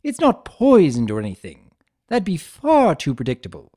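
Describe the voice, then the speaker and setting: A man's voice: on the high side, wildly swooping pitch, normal speed, dark tone. A man voicing a mischievous, flippant chimera trickster in a theatrical, teasing way for a fan fiction reading.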